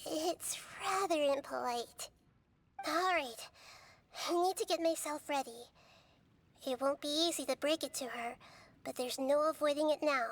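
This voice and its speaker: A woman voicing a gentle young goddess who speaks in a soft, measured, thoughtful way. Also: high voice. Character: gentle young female god, calm and wise.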